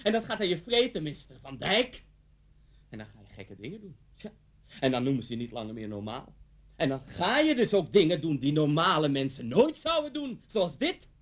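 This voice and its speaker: A man's voice: a little high, big pitch swings, normal speed, fairly bright tone, lo-fi sound. An actor playing a vengeful, deranged middle-aged man in a radio play, mocking and theatrical as he threatens someone. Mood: bitter, agitated. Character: vengeful, deranged man taunting his old schoolteacher at gunpoint.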